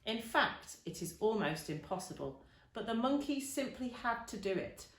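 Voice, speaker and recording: A woman's voice: fairly high pitch, very animated, moderate pace. Echoey woman reading a children's story. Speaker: storybook reader. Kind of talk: expressive children's story narration. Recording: roomy, echoing indoor sound.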